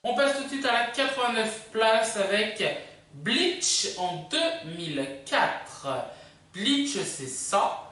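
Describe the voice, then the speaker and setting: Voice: mid-range voice, expressive, slow pace, sharp tone, faint music behind. A video host presenting a countdown casually and unscripted, rambling and hesitant, often drifting into asides. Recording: echoey room, distant microphone.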